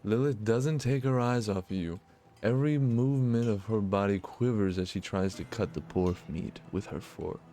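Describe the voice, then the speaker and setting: A man with a fairly low voice plays through a visual novel. He reads narration aloud and comments bluntly and informally, with music playing behind him. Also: moderate pace, dark tone. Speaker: gaming video host. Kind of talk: visual novel let's play, narration read aloud with casual asides.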